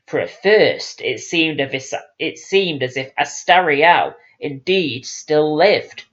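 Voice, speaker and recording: A man's voice: medium pitch, highs cut off. A man narrating a fantasy audiobook in a solemn, dramatic storytelling manner.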